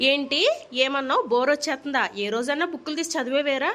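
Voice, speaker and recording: A woman's voice: sits high, steady tone, quick pace, a bit bright. A woman voicing an exasperated, nagging young mother in a comedy cartoon, with a Telugu accent and sarcastic, threatening scolding. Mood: angry scolding.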